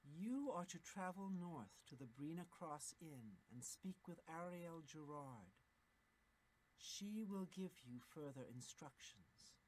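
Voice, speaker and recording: A woman's voice: deep voice, lively intonation, slow, a little mellow, a little hiss or hum, rough recording. A woman voicing a stern female mage guild official in a video game, speaking in a formal, commanding way. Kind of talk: video game character dialogue.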